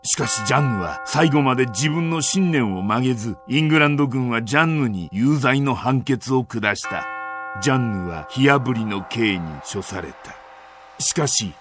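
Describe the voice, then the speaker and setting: A man's voice: mid-range voice, animated, music in the background. A man playing a solemn, devoted French soldier who narrates war history in a video game cutscene. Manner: solemn, measured delivery. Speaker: campaign narrator. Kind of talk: dramatic scripted game narration.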